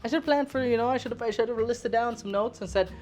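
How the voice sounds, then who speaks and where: A woman's voice: on the high side, quick pace, a little mellow. A woman in her twenties from the Philippines, chatting casually and self-deprecatingly while she cooks in her home kitchen. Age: 20s. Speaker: cooking vlogger. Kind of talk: casual rambling chat while cooking.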